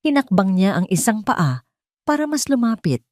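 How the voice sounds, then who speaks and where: A woman reading a scripted dramatic story as narrator, in a measured, suspenseful way. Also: medium pitch, big pitch swings, warm tone, no echo, clean studio sound. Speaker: narrator of audio drama stories.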